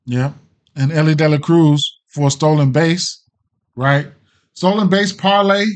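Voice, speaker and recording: A middle-aged man with an American accent and a slightly higher-pitched voice. He talks like a casual sports betting streamer, thinking out loud and trailing off as he goes. His delivery is loose, slangy and peppered with profanity. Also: fairly even intonation, measured pace. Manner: slangy, profane, hesitant and rambling. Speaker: sports betting show host. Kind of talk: casual off-the-cuff livestream betting picks.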